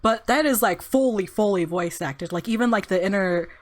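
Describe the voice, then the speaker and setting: A woman's voice: medium pitch, normal speed. A woman doing casual, chatty and reactive gameplay commentary, sometimes reading game text aloud. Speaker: let's-play streamer. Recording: background game music.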